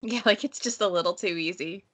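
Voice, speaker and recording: A woman's voice: fairly low pitch, fairly quick. A woman hosting a podcast, talking casually and informally about books. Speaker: podcast host. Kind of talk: casual book discussion.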